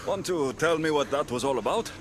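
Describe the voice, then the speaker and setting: An actor playing a blunt, firm warrior hero serving as a judge in a video game. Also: male voice, fairly high pitch, animated, fairly quick, close to an everyday voice. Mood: stern. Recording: game soundtrack music underneath.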